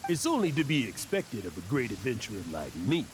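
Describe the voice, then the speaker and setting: A stammering braggart adventurer. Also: male voice, mid-range voice, wildly swooping pitch, a little fast, a crowd in the background, low-quality recording. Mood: nervous. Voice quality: gravelly, stammering. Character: nervous boastful male adventurer. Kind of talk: video game dialogue.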